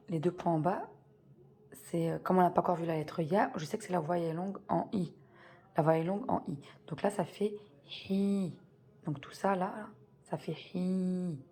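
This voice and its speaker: Low, mellow-voiced woman teaching slowly. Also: slightly rough. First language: French. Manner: slow deliberate spelling-out of sounds, repeats key words. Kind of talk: patient step-by-step reading lesson.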